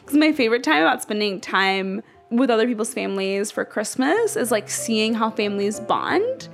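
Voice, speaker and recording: A woman's voice: a little high, very animated, normal speed, creaky, faint music behind. A young woman from Ethiopia speaking in a casual, earnest, reflective way, filling her talk with "like". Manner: earnest, says "like" constantly. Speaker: interviewee.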